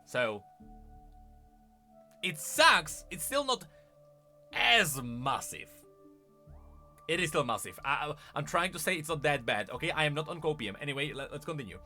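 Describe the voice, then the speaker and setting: A man with a bright, high voice talks casually to his livestream chat as a gaming commentator. His pitch swings in a lively way, and he is emphatic, often repeating a phrase to drive it home. Music plays faintly behind him. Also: normal speed, long pauses. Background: background music.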